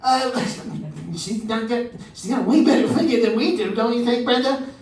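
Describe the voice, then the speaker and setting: A woman playing an excitable, comically delighted older lady in a radio comedy sketch. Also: on the high side, normal speed. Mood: hesitant, gossipy. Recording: echoey stage, distant mic, music behind.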